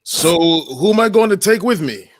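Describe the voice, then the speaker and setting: A man's voice: high-pitched, lively intonation, moderate pace, no room sound. A man playing a suave, irritable smuggler and ex-hero in a comedic script read. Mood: bossy, deadpan. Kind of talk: comedic live table read of a film script.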